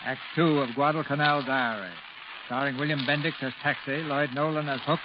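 Formal male 1940s radio drama presenter, mid-pitched, dull and very noisy sound. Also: fairly even intonation, fairly quick. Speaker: producer and host of radio theater.

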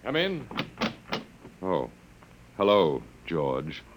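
Sardonic professor in an old radio play, low voice, swings up and down a lot, kinda hesitant. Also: male voice, wildly swooping pitch, extremely slow, heavy background noise, exaggerated voice. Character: wry, resigned male anthropology professor held captive by aliens. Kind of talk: classic radio drama acting.

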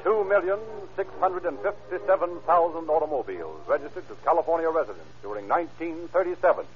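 A man announcing in a formal, emphatic 1930s radio style, delivering scripted narration and sponsor pitches. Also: animated, quick pace, faint music behind. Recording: muffled, scratchy 1930s radio recording.

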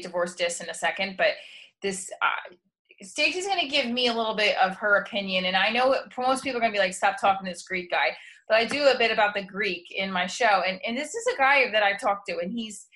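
Woman, host, casual candid chat, rambling, profane, middle pitch. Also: lively intonation, brisk, pushed and bright. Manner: rambling, many restarts, frank and profane. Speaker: host and comedian.

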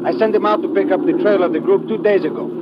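An actor playing a courteous, formal Brazilian police captain in a vintage radio drama. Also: male voice, sits high, fast talker, natural voice. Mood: businesslike. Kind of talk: 1950s radio drama acting. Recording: muffled old radio recording, loud engine noise.

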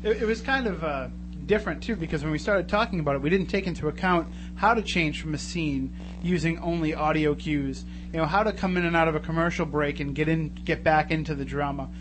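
A man's voice: fairly high pitch, fairly quick. A man hosting a casual live radio interview in a studio, chatty and wordy. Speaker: radio show host. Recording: radio studio, loud noise and hum.

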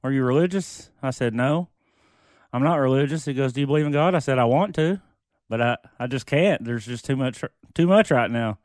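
A man's voice: medium pitch, a little fast, darkish tone, no room sound, clean studio sound. A man with an Oklahoma accent co-hosting a podcast, chatting in a laid-back way with short replies. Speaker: podcast co-host. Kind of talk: casual podcast chat.

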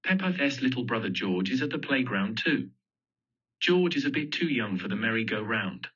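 Male storybook reader, lively swooping pitch, bright slightly raspy voice, expressive, muffled lo-fi recording. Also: moderate pace. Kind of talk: children's story read aloud. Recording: dull lo-fi audio.

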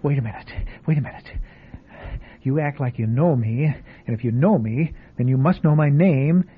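A man's voice: medium pitch, moderate pace, husky, lightly acted. A man playing a jittery stammering investigator. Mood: panicked. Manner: jittery, stammering, talking to himself. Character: nervous middle-aged male insurance investigator. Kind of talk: classic radio drama acting. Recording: tinny old radio broadcast with faint noise.

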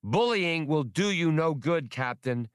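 A man voicing a small, furry alien debt collector in an audiobook, squeaky, fussy, officious and stubbornly insistent. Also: lively intonation, a little slow, smooth, dry recording, studio quality. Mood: nervously firm.

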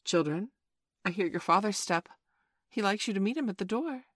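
Lady reader doing a fussy little girl playing mum, prim n scolding, pitched up, storybook style, close dry sound. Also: expressive, normal speed, a bit bright, no room sound. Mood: fond, coy. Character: bossy, motherly young girl playing mother. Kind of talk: children's storybook reading.

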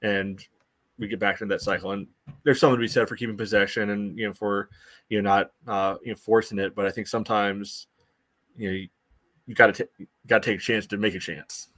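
A man co-hosting a soccer podcast, giving casual analysis in a halting way, peppered with "you know". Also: on the low side, steady tone, a bit bright, no echo. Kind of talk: casual sports analysis chat.

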